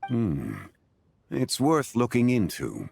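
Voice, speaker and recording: An actor playing a calm, refined, scholarly gentleman in a video game, speaking in a formal, measured and courteous way. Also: medium pitch, vocal fry, soft background music, full character voice. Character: calm, refined, scholarly gentleman consultant with old-world poise.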